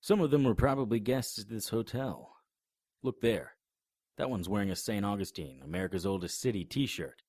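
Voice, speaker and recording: A man's voice: fairly low pitch, lively intonation, normal speed, a little mellow, dry recording. A man playing a wisecracking monster hunter in an audio drama, speaking in a dry, sardonic, matter-of-fact way. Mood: wry. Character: wisecracking middle-aged male monster hunter. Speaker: vampire hunter and narrator.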